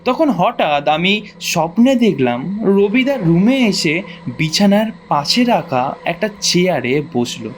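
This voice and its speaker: A man's voice: high voice, sing-song, a little slow, a little hiss or hum. A man narrating a first-person ghost story from a script in a suspenseful, dramatic storytelling manner.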